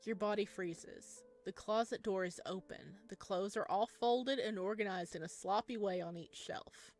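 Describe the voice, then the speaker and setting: A woman's voice: mid-range voice, close to an everyday voice. A woman reading a visual novel as a solemn, suspenseful narrator of a creepy horror story. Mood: tense. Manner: slow, hushed, suspenseful. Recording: music behind the voice.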